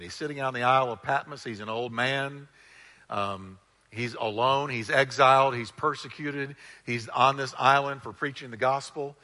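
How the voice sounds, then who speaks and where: A pastor preaching a sermon in a loud voice, with emphatic, dramatic delivery and stressed, drawn-out words. He calls on the congregation to repeat phrases after him. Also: mid-range voice. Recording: long hall reverb.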